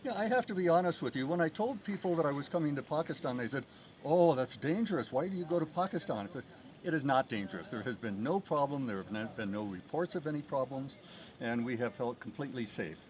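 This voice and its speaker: An enthusiastic middle-aged man from the United States speaks outdoors in the mountains, at an ordinary pitch for a man. His voice is descriptive and warm with interest. Clear background noise runs under an open-air recording. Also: expressive, fast. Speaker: tourist guest. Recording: noisy outdoor ambience.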